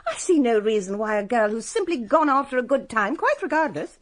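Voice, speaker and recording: An actress playing a prim, moralising English spinster, pompous and disapproving, in a radio drama. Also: female voice, a little high, sing-song, a little fast.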